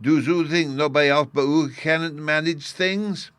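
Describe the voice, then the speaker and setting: A man's voice: on the high side, measured pace, a bit bright, clean tone, dry recording, pitched above the performer's own voice. A man reading aloud, voicing a small, stubborn, childish fairy boy in a storybook. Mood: plaintive.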